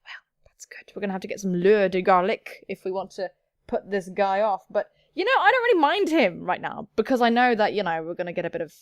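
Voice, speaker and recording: A woman's voice: mid-range voice, sing-song, fast, dry recording, studio quality. A woman hosting a gaming video, reading aloud and commenting in a playful, theatrical, cheeky way.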